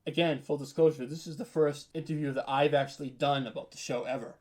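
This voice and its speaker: A man's voice: fairly high pitch, fairly even intonation, fast, darkish tone. A man speaking as an interview guest in casual, candid chat, peppering his talk with "like" and "you know". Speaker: interview guest, horror audio drama writer.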